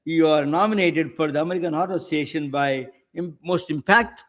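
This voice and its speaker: A man with an Indian accent hosting a webcast interview, halting and restarting often. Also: fairly high pitch. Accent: Indian English. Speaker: webcast host.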